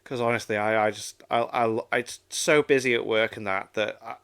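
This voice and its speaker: A man's voice: fairly low pitch, steady tone, moderate pace, fairly bright tone, smooth. Enthusiastic, rambling man chatting casually. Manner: enthusiastic, rambling, repeats himself. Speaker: reaction video host. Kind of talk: casual reaction chat.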